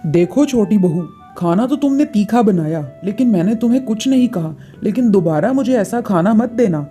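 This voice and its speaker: A bossy older auntie in a family storybook tale, acted by a woman. She orders and finds fault in a soft-edged, mid-pitched voice, with music underneath. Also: lively intonation, moderate pace, lightly acted. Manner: commanding, complaining. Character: bossy, demanding, fault-finding older visiting aunt.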